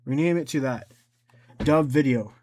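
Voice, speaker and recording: A man giving a casual, unscripted software tutorial, thinking aloud as he goes, haltingly repeating and correcting himself. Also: mid-range voice, slight background noise. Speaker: tutorial host.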